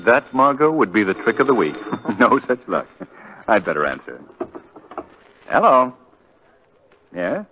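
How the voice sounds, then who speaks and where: An actor playing a courteous, composed gentleman sleuth in a 1940s radio drama, polite and urbane. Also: medium pitch, sing-song, unhurried, pauses often. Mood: brisk, urgent. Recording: dull 1940s radio recording, music behind.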